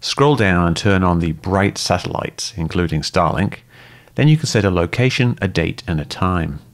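Brief: low-voiced male narrator, methodical and calm, lively intonation despite the deep pitch. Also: moderate pace. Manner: calm, methodical, step-by-step explaining. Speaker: host and debunker. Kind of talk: scripted explanatory narration.

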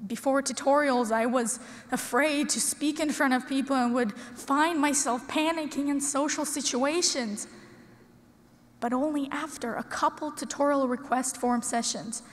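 A sixteen-year-old girl from Russia giving an earnest, prepared speech at a podium in a large conference hall, with deliberate dramatic pauses. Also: high voice. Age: teens. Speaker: student speaker. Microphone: podium microphone. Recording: long hall reverb.

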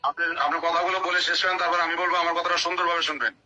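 A man's voice: fairly high pitch, little pitch movement, fast talker, pushed and bright. A Bangladeshi police officer-in-charge, a man explaining himself defensively and insistently on a recorded phone call, often repeating his points. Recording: noisy recorded phone line.

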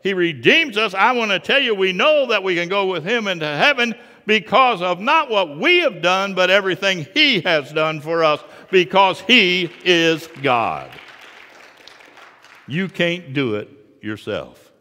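A middle-aged man preaching a sermon in a large church sanctuary, moving from quiet asides to loud, fervent passages. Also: high voice, very animated, unhurried, in a large hall. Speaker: pastor.

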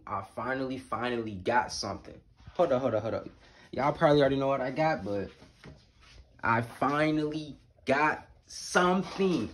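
A twenty-year-old American man chatting casually to camera in a slangy, emphatic vlog style, repeating phrases for emphasis. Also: medium pitch. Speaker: YouTube vlogger. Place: small indoor room at home. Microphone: phone or camera at arm's length.

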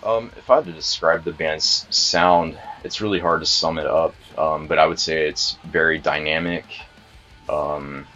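A man from Atlanta speaks in a measured way with many "um"s. His voice is very deep and forceful, the recording is slightly dull, and music runs faintly underneath. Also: fairly even intonation. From Atlanta, USA. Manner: measured, frequent "um". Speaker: band guitarist. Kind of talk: casual interview talk. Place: music studio, indoors.

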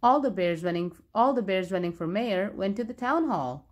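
A woman narrating a children's storybook aloud, expressively and with clear phrasing for young listeners. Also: mid-range voice, animated, moderate pace.

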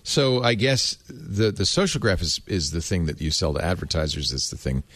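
A man with a fairly low voice and lively, swooping intonation hosts a casual panel discussion. He thinks out loud playfully, and the sound is close and dry. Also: normal speed, no echo. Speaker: podcast host.

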